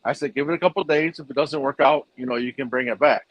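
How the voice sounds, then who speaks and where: A man's voice: mid-range voice, fast talker, no echo, lo-fi sound. A man who runs a fish food business, answering interview questions in a plainspoken, rambling way with fillers.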